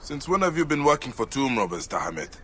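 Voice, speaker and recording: A man's voice: medium pitch, big pitch swings, fast, pushed and bright, slight background noise. An actor playing a weary, stern ancient Egyptian man in a game cutscene, terse and grave. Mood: probing. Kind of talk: dramatic game cutscene acting.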